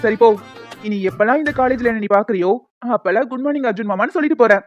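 Cocky young college guy, voiced by a woman, high-pitched. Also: racing, muffled recording, faint music behind, natural voice. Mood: swaggering. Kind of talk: cartoon story dialogue in colloquial Tamil slang.

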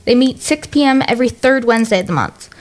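An American woman with a fairly high voice hosts a radio show. She delivers scripted links and segments in the style of a station presenter. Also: expressive, fast. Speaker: radio host. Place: radio studio.

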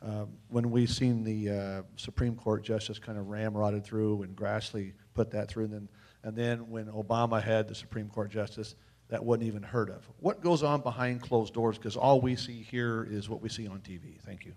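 A man's voice: lowish voice, steady tone, moderate pace, a little mellow. A plainspoken man asking a direct question into a handheld mic in a large hall. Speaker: audience member asking a question.

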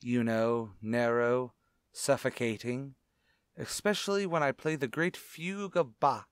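A man playing a sinister, gloating organist and murderer in an old-time radio suspense play, speaking in a deliberate, theatrical way. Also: mid-range voice, fairly even intonation, clear, resonant tone, no room sound, studio quality, natural voice. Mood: suave menace. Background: music.

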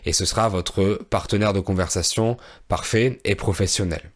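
A man in his thirties from France, a fairly low-voiced language teacher recounting a trip to learners. He speaks in a clear, measured way, with the odd soft "euh". He is recorded close on a studio microphone in a small indoor room. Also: fairly even intonation. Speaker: French teacher and podcast host. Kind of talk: relaxed storytelling for language learners. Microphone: studio microphone on a boom arm, close.

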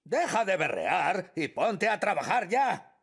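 A man's voice: high-pitched, big pitch swings, slow pace, creaky, fairly bright tone, slightly rough, heavily acted. A man voicing a bossy, pompous older fire chief in a children's cartoon dub. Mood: stern. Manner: bossy, emphatic. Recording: music behind the voice.

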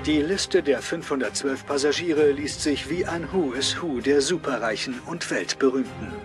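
A man's voice: mid-range voice, normal speed, lightly acted. An actor as a factual male news reporter over music.

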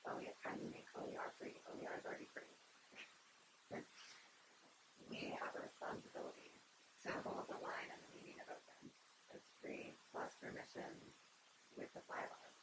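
A woman's voice: moderate pace, long pauses, heavy background noise. A young woman giving a conversational keynote talk at a podium on an auditorium stage, speaking into the podium microphone with frequent fillers and restarts.

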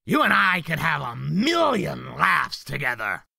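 A woman voicing a chummy, gleefully murderous demon clown in a comic dub, raspy and mock-friendly. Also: low voice, sing-song, unhurried, sharp tone, dry recording, exaggerated voice. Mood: sinister glee.

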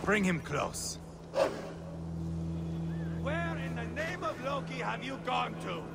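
An actor playing a gleefully cruel, vengeful Viking warrior in a video game, theatrical and taunting. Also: male voice, extremely high pitch, sing-song, gravelly, heavy background noise, full character voice. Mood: cruel relish. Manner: theatrical, taunting, savouring slow delivery. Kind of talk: dramatic video game acting.

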